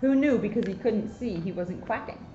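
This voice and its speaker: A woman's voice: medium pitch, expressive, moderate pace, dark tone, faint music behind. A woman police officer reading a picture book aloud expressively, with chatty, casual asides. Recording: roomy echo, muffled, faint noise.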